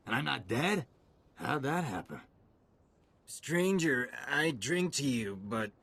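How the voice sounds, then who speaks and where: An actor playing a tipsy, fatalistic soldier who rambles, in a video game. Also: male voice, medium pitch, pushed and bright, heavily acted. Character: boozy, fatalistic soldier, last of his squad. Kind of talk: video game dialogue acting.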